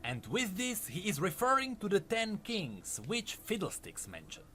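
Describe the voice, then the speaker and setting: A man's voice: sits high, huge pitch swings, a little slow, pushed and bright, slight background noise. A man delivering scripted lore narration in an explanatory, storytelling way. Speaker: video essay narrator.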